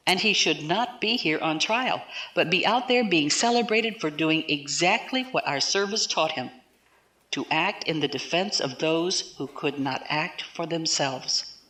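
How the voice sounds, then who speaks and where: Low-voiced, earnest military defence counsel. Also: female voice, a bit bright. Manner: oratorical, measured, persuasive. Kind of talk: science fiction audio drama acting.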